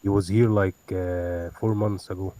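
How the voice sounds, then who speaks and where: Man from Egypt, deep and mellow, halting casual talk, noisy faulty mic. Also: steady tone. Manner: hesitant, halting, with many fillers. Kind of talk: casual chat.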